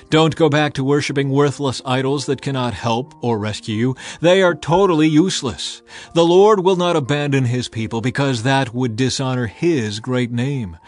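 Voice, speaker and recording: A stern old prophet, read by a man in a plain mid-pitched voice. He speaks solemnly and sternly to a whole nation. Soft music plays faintly underneath. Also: lightly acted. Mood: reassuring. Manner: solemn, admonishing. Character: stern aged male prophet addressing a nation. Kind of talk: dramatized scripture reading.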